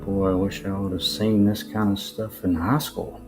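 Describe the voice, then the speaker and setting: A man giving casual, unscripted commentary in a musing, halting way, often posing rhetorical questions. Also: fairly low pitch, flat delivery. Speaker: video narrator. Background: new-age music.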